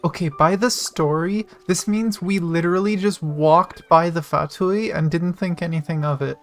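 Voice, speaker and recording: A woman with a slightly low voice talks casually through a live game stream. She sounds playful and informal, and game music plays behind her. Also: steady tone, normal speed. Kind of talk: live game stream, reading story dialogue aloud and commenting casually.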